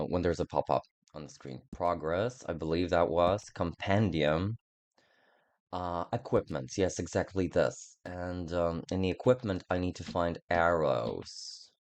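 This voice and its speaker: A man giving casual game playthrough commentary in a halting, self-deprecating way, swearing freely. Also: low-pitched, darkish tone. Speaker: game streamer.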